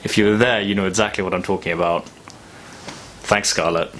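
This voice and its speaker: A young man talking casually and reflectively in a vlog, thoughtful and halting with long pauses. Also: sits low, fairly even intonation, fairly bright tone, a little hiss or hum. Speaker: vlogger.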